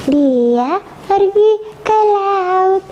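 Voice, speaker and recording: A young girl telling a simple story in a halting, sing-song way. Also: very high voice, animated, darkish tone. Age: child. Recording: dull lo-fi sound, faint noise.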